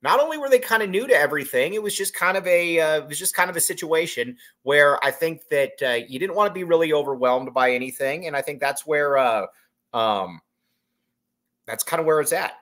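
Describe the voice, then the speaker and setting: A man's voice: on the high side, animated, fairly quick, a bit bright. A man in his thirties hosting a solo sports podcast from a small room at home, rambling through a postgame reaction with many fillers and repeated phrases.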